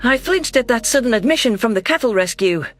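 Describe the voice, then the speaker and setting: A man's voice: extremely high pitch, expressive, a little fast, a bit bright, no room sound. A man reading a science fiction story aloud as a dramatic first-person narration. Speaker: audiobook narrator. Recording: music bed under voice.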